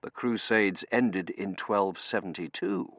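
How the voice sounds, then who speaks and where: A man voicing a brusque, commanding male academic in an audiobook, brisk, authoritative and easily exasperated. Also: sits low, fairly bright tone, no room sound, exaggerated voice. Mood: dry, firm.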